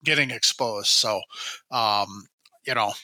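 A man in his sixties, hoarse while recovering from illness, rambling and restarting his sentences in a casual radio chat. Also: on the low side, slow pace, pushed and bright, no echo, poor audio. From Minnesota. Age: 60s. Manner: rambling, self-correcting storyteller. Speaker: show regular, state high school sports guide.

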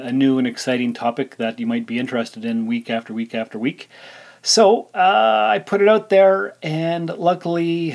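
A man's voice: on the high side, a little mellow. A man in his forties hosting a solo podcast, talking informally and thinking aloud as he rambles along. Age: 40s. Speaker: podcast host. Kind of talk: casual solo podcast monologue.

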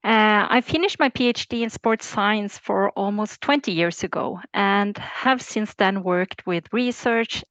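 Guest expert: Norwegian-accented woman, forties, careful measured delivery, dry muffled audio. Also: mid-range voice, fairly bright tone, no echo. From Norway. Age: 40s. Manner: careful, measured, frequent "uh" fillers. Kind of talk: podcast interview.

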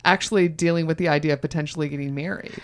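A woman co-hosting a casual chat who reacts with short, lively interjections. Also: sits low, rapid-fire. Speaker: co-host.

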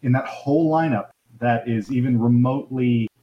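A man in his thirties calling in to a live show, chatting quickly and casually, his voice often trailing off at the ends of phrases. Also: medium pitch, lo-fi sound. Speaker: caller. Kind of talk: casual call-in chat.

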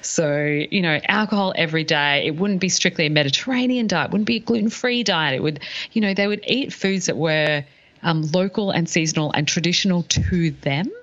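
A slightly dull recording of a woman with a low-pitched voice. She talks as a podcast co-host, laying out points in a relaxed conversational style. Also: fairly quick. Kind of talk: casual explanatory discussion.

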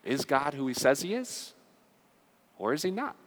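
A man's voice: on the high side, sing-song, normal speed. Impassioned male preacher in a reverberant hall. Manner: emphatic, swings between forceful declaration and quiet confiding, rhetorical questions. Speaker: pastor preaching to his church. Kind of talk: impassioned conversational sermon. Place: large echoing room, preaching to a congregation.

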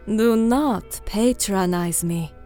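A woman's voice: mid-range voice, takes it slowly, warm tone, soft background music, close to an everyday voice. A woman playing a proud, stern alien commander in an audio drama, speaking formally in clipped, cold, haughty phrases. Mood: irritated, curt.

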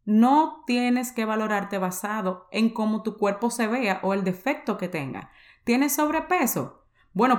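A woman's voice: medium pitch, echoey room. A young Dominican woman with a Dominican accent hosting her own podcast, telling her story in a candid, confessional way and mixing English words into her Spanish. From Dominican Republic. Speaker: podcast host. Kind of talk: personal podcast monologue.

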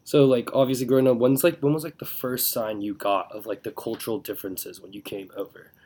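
A man's voice: mid-range voice, steady tone, brisk, darkish tone. A young man hosting a podcast, asking questions in a laid-back way and saying "like" a lot. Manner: laid-back, heavy use of "like". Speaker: podcast host. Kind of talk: casual interview chat.

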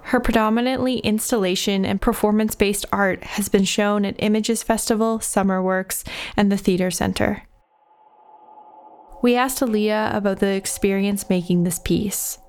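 A woman host reading a scripted guest introduction in a clear, measured way. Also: mid-range voice, steady tone, a little mellow.

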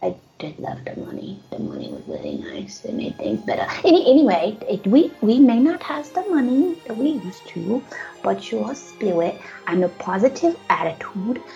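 A woman's voice: fairly high pitch, gravelly, dark tone, full character voice. A woman playing a sickly, poor young boy who stammers and rambles naively in a comedic audio play. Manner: stammering, repeats words, rambling. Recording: dull lo-fi audio with music.

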